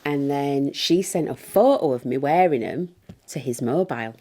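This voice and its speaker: An actress playing a smug, scheming pantomime stepsister, gossiping confidingly in a comic monologue. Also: female voice, very deep voice, expressive, moderate pace, warm tone. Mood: gloating, sly.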